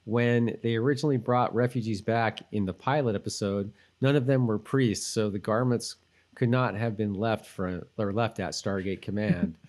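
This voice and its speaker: A man's voice: fairly low pitch, steady tone, moderate pace, darkish tone. Easygoing American man, podcast co-host. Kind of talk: casual TV rewatch podcast chat.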